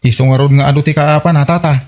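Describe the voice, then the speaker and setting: A man's voice: on the high side, fairly even intonation, fast talker, a little mellow, highs cut off, close to an everyday voice. A man playing a principled village husband, admonishing and questioning in a comedy radio drama. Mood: disapproving.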